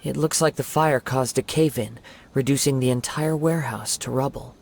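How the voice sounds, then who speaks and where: A man voicing a calm young wandering samurai in a video game, soft-spoken, composed and reassuring. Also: medium pitch, normal speed, creaky, a little hiss or hum, lightly acted.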